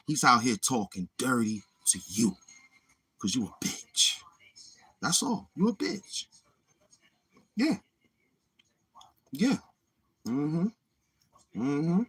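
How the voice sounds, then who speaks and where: A man's voice: medium pitch, sing-song, extremely slow, long pauses, a bit bright, husky. A man hosting his own channel in a boastful, taunting livestream monologue, swaggering and repeating himself. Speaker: YouTube channel host.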